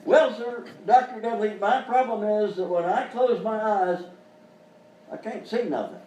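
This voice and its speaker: A man playing a slow-witted, earnest country farmer in live sketch comedy. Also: sits high, darkish tone, a little hiss or hum. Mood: dim earnest. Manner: folksy, simple, hesitant. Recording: distant roomy live show with audience.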